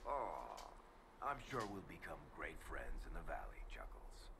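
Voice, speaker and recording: Wry teasing dwarf rogue in a video game, low voice, very lively delivery, noisy background. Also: male voice, measured pace, vocal fry, heavily acted. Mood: teasing, cocky. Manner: sardonic, teasing. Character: wry, roguish male dwarf storyteller, cocky and irreverent.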